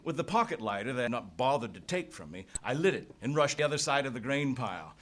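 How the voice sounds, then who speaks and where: A man's voice: mid-range voice, sing-song, fast talker, a bit bright. An actor playing a beleaguered, stoic adventurer hero in a live radio play, telling his story in a formal, dramatic way. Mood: tense, dramatic. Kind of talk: live radio play acting, scripted first-person narration. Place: theatre stage, live performance. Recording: noisy live stage recording.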